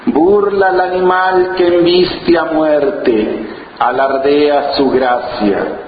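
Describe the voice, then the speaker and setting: A man from Oaxaca whose first language is Zapotec, a poet who tells his story haltingly, with many fillers and restarts, and reads his own poems. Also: fairly high pitch, steady tone, very echoey, long reverb tail, highs cut off, a little hiss or hum. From Juchitán, Oaxaca, Mexico. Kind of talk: slow reflective interview and poetry reading.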